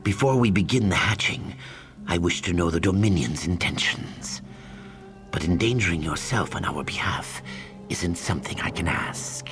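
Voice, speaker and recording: An actor playing a solemn male reptilian keeper of sacred eggs in a fantasy game, with breathy, deliberate delivery. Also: deep voice, animated. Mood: solemn, urgent. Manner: slow, grave, deliberate. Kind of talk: fantasy video game dialogue. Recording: game music behind the voice.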